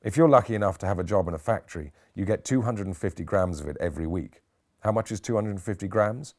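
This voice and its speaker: A man's voice: lowish voice, quick pace, a little mellow, voice pushed up, natural voice. A man with a British accent voicing a middle-aged academic lecturing in an emphatic, rhetorical way for an audiobook. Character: forty-three-year-old academic man lecturing, theatrical and wry.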